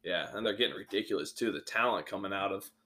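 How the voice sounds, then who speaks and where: Lowish-voiced American man, around thirty. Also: fast talker, pushed and bright. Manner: informal, slangy. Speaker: podcast co-host. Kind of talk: casual chat.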